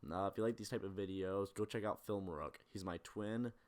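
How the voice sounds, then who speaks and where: Low-voiced man giving blunt film commentary. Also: steady tone, a little fast, a little mellow. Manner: blunt, profane, opinionated. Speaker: video essayist. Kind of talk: film analysis commentary with casual ranting.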